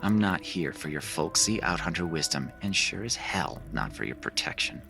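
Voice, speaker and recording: Deep, brash rich trophy hunter. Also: male voice, sing-song, normal speed, vocal fry, over music, exaggerated voice. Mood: contemptuous. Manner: sarcastic, blunt, boastful. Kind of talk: audio drama acting.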